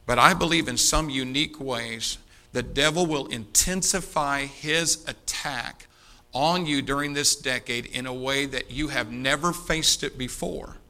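A man's voice: mid-range voice, a little slow, sharp tone. A man in his fifties preaching a sermon to a congregation, emphatic, repeating key phrases and addressing his listeners directly. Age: 50s. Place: speaking live before an audience.

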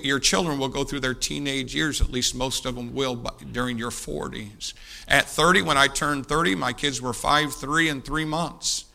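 A man in his fifties preaches with a very bright, forceful voice at a medium pitch. He speaks straight to his listeners and drives points home by repeating phrases with heavy emphasis. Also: vocal fry. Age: 50s. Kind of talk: sermon, exhorting a congregation. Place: speaking live before an audience.

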